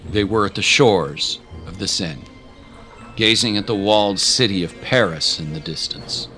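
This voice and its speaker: A man's voice: sits low, a little slow, bright, forceful tone, faint music behind. A man reading scripted dramatic narration as the narrator of an adventure story.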